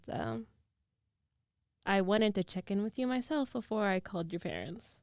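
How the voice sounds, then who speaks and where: A woman playing a concerned, teasing young ex-girlfriend in an intimate ASMR roleplay, speaking softly and haltingly into a close microphone. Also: medium pitch, gravelly, dry recording. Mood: concerned, gently chiding.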